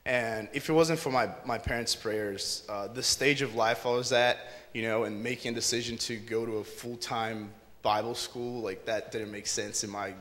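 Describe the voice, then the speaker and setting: A man's voice: medium pitch, moderate pace, few pauses. Young man from Brazil in a church hall. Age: 20s. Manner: earnest, relaxed storytelling with fillers. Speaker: interview guest. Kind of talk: casual testimony on stage. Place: church stage before an audience. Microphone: handheld mic.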